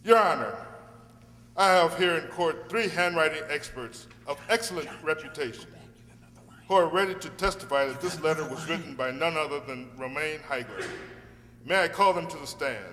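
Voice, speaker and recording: Echoey hall with faint hum, man acting earnest radio drama lawyer, highish forceful voice, formal speechifying. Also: lively intonation, normal speed. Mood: accusing. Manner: oratorical, formal. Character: earnest, dogged defence barrister. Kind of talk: courtroom radio play acting.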